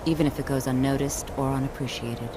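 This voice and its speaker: A woman's voice: rumbling low, fairly even intonation, quick pace, very noisy. An actress playing a proud, formal wizard woman in a fantasy video game. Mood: formal, approving. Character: proud female college wizard guiding an apprentice.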